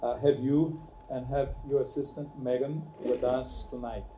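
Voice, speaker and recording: Halting middle-aged man hosting in a room. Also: medium pitch, flat delivery, reverberant, music in the background, low-quality recording. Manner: halting, with many fillers and restarts. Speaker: ESL professor and event host. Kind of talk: hesitant, warm classroom hosting. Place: campus meeting room.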